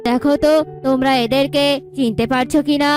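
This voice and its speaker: A woman voicing a calm, authoritative male bird king in a children's cartoon, speaking in a measured way. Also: high voice, faint music behind, pitched below the performer's own voice, lightly acted. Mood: calm, commanding.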